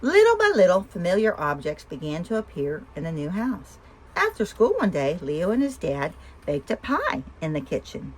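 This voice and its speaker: Lively low-pitched woman reading a picture book. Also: normal speed, husky, poor audio. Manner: asks listeners simple questions between story lines. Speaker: storyteller and host of a read-aloud show. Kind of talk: expressive picture-book read-aloud for young children.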